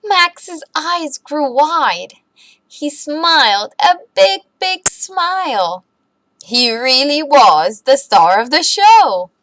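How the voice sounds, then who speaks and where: A woman reading a children's bedtime story aloud in an expressive, deliberate way for young listeners. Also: high voice, dry recording.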